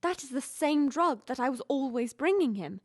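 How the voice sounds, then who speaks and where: A woman's voice: sits high, animated, moderate pace, a little mellow, no echo, clean studio sound. A woman playing an earnest, deferential Victorian household servant in an audio drama, with old-fashioned, dramatic phrasing. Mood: uneasy, grave.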